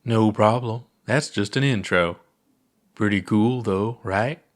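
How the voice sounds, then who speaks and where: A man's voice: fairly low pitch, slow pace. A man voicing a goofy, laid-back alien astronaut with a playful, theatrical drawl in a storybook reading.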